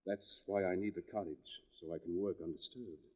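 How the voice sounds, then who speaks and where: An actor playing a courteous, inquisitive and intense doctor in 1940s radio drama style. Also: male voice, sits low, fairly even intonation, brisk. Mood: eager, probing. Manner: formal, inquisitive, earnest. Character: courteous, intense male doctor writing about criminal minds. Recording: dull vintage 1940s radio recording.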